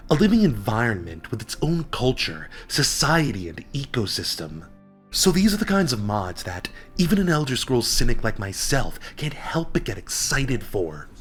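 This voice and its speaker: A man hosting a lore video, narrating from a script with a stuffy voice from a cold and dramatic pauses. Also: lowish voice, slightly rough. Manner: enthusiastic storytelling with dramatic pauses. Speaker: video essay host.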